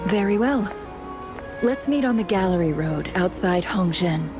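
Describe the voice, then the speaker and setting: A woman voicing a composed, courteous young strategist in a fantasy game, speaking in measured, formal phrases. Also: lowish voice, animated, music in the background. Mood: composed, thoughtful. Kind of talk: scripted video game dialogue.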